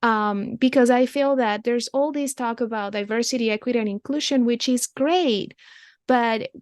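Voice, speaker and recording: A woman's voice: a little high, normal speed, no room sound, studio quality. A young adult woman, a therapist, talks through ideas thoughtfully as an interview guest, often restarting and asking questions back. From immigrant. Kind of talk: reflective interview conversation.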